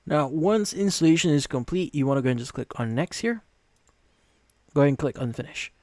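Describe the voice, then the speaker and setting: A man hosting a software tutorial, instructing step by step in a repetitive way. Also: on the high side, animated, fairly quick, no room sound. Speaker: tutorial host. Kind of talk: screen-recorded software tutorial narration.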